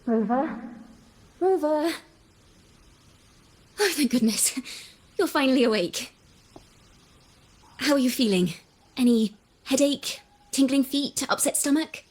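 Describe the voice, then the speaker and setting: Expressive, high-voiced young musician. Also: female voice, slow, long pauses, full character voice. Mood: worried, relieved. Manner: expressive, theatrical, playful. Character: friendly, excitable, dramatic young female musician. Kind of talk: video game voice acting.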